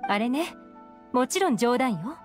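A woman's voice: fairly high pitch, very animated, measured pace. A woman playing a self-assured, sly young intelligence agent in an anime game, composed, teasing and knowing. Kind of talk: Japanese anime game voice acting. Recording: music behind the voice.

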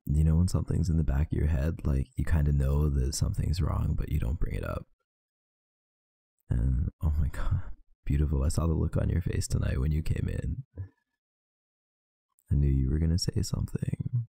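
A tired man talking softly and intimately, close to the mic, in an affectionate boyfriend roleplay. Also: rumbling low, steady tone, normal speed, vocal fry, slightly rough, no echo. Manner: soft, intimate, affectionate murmuring. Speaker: ASMR roleplay performer.

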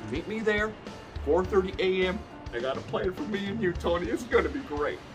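A man's voice: high-pitched, expressive, over music. A man playing a chummy, shady, folksy schemer in an improvised soap comedy, repeating himself conspiratorially. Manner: deliberate, repetitive, conspiratorial buddy talk.

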